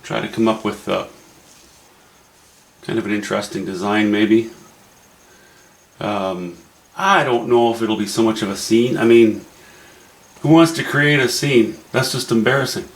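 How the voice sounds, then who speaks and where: Wry Canadian man, low gravelly voice. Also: a little slow, long pauses, reverberant. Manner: musing, wry, thinking aloud. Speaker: painting instructor. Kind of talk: casual painting demo narration.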